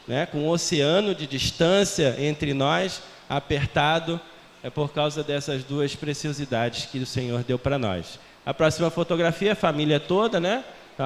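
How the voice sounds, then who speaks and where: Missionário brasileiro falando numa igreja ecoante. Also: male voice, on the high side, slight background noise. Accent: Brazilian Portuguese with European Portuguese traces. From Brazil. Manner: jovial, self-mocking, chatty with the congregation. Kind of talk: church missionary talk. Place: large church hall. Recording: long church reverb, faint ambience.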